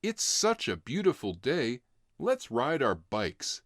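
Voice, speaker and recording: Storybook sister played by a man: upbeat, sensible young girl with very lively intonation. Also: medium pitch, slow, dry recording, clean studio sound, full character voice. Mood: cheerful.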